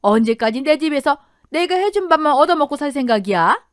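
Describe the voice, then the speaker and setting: Woman acting a shrill, spiteful nagging mother-in-law. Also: animated, fairly quick, dry recording, studio quality. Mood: scolding. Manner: scolding, sneering.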